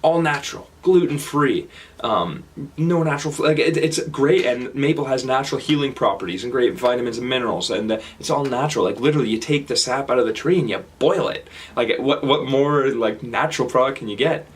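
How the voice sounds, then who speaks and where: A young Canadian man with a Canadian accent giving a casual stage talk, halting with fillers and restarts. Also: medium pitch. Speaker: speaker. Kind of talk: public talk.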